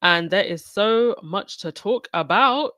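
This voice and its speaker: A woman's voice: medium pitch, lively intonation, slow pace, fairly bright tone, dry recording. A woman from England hosting a casual podcast, sounding sniffly from a cold and chatting loosely with many fillers and restarts. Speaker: podcast host. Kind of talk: casual commentary on a livestreamed podcast.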